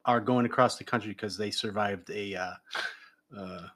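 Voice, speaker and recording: Man, co-host, halting explanations, ums and uhs, casual chat. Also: lowish voice, steady tone, fairly bright tone. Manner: hesitant, many ums and restarts, explaining.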